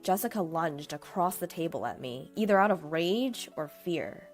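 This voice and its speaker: A woman reading a scripted first-person story as its narrator. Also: lowish voice.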